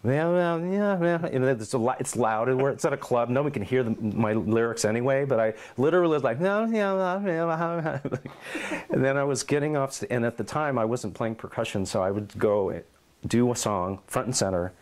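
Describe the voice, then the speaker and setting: A middle-aged man in an oral history interview at his home, telling lively stories and acting out quotes. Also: mid-range voice, normal speed. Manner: animated storyteller, acts out quotes and meows.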